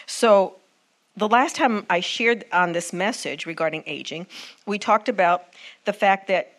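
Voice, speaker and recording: A woman's voice: medium pitch, measured pace, a bit bright. Woman preacher, earnest teaching sermon, mid-range voice, calls for responses. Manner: earnest, asks the congregation for responses.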